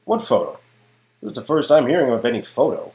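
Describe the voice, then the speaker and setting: A man's voice: medium pitch, sing-song, fast talker, a little mellow, pitched above the performer's own voice, natural voice. A man playing skeptical, sardonic friends in an amateur reading of game dialogue, exclaiming and sometimes hesitant.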